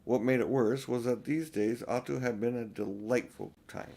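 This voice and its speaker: A man's voice: mid-range voice, steady tone, normal speed, mellow. An older man narrating a children's storybook expressively as an audiobook reader.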